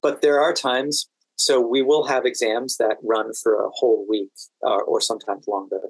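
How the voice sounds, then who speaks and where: A man answering interview questions in a measured, careful way, pausing mid-sentence as he explains. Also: mid-range voice, steady tone, slightly rough, no room sound, poor audio. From United States, Washington DC area. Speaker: podcast guest, standardized patient and exam event administrator.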